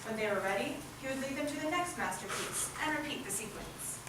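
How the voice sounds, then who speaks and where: A woman's voice: on the high side, lively intonation, brisk. A woman narrating a scripted story as part of a readers' theatre group. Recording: echoey hall, distant mic, loud noise.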